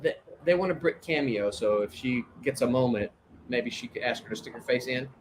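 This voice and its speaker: A man co-hosting a casual livestream chat from an outdoor balcony over a video call, talking loudly and laughing often as he reads viewer comments. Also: medium pitch, lively intonation, brisk, a little hiss or hum, low-quality recording. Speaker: podcast co-host. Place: outdoor second-floor balcony. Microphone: earbuds on a video call.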